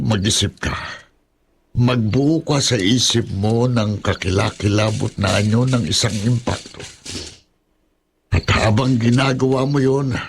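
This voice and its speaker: Man acting sly low creaky village sorcerer. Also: a little hiss or hum. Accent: Filipino. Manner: halting, deliberate, with hesitant pauses. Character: older male village healer and sorcerer, sly, ominous. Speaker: voice actor in horror audio drama. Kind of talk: Filipino horror radio drama acting.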